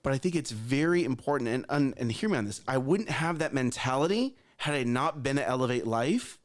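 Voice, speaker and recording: A man's voice: mid-range voice, expressive, fairly quick. Man, thirties, earnest, repeats phrases, talks straight to listeners, casual storytelling. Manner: earnest, repeats himself, addresses listeners directly. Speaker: morning show co-host.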